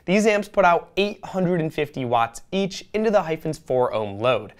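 A man's voice: on the high side, big pitch swings, normal speed, smooth. Polished young man presenting a scripted review. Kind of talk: scripted product review narration. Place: indoor studio, seated at a table.

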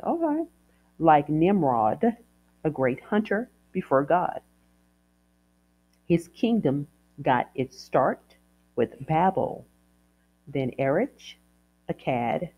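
An American woman host reading scripture aloud informally, in a chatty, folksy and deliberate way with casual asides. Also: sits low, highs cut off.

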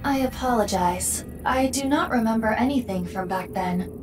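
A woman's voice: on the high side, moderate pace, close to an everyday voice. A woman voicing a polite, formal female AI eyeball companion in a video game, speaking with precise diction. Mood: calm formal. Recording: game audio with background music.

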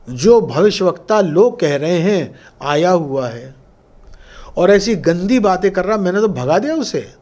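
A middle-aged man preaching a sermon as pastor, dramatic and expressive, acting out biblical figures, speaking into a stand mic in a church studio. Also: high voice. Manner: dramatic, gesturing broadly, voicing biblical figures. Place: church studio with curtain backdrop, indoors. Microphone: stand mic close in front.

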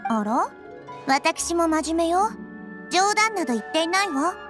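A woman playing a calm, curious little girl deity in a Japanese game, composed and gentle in manner. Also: very high voice, unhurried. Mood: earnest. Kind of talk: Japanese anime game dialogue. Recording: faint game music behind.

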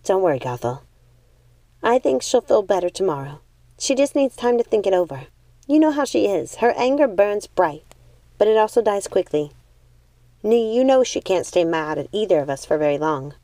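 A woman voicing a calm, resolute eldest witch sister in a storybook, speaking firmly and deliberately. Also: medium pitch, dry recording. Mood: reassuring.

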